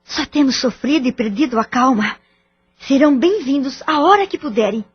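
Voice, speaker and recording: Anxious, polite family mother, Brazilian, high husky voice, formal radio-drama delivery. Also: animated, normal speed, full character voice. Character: distressed married mother, anxious, polite, hopeful. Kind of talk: audio drama acting in Portuguese. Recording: dull lo-fi audio drama recording.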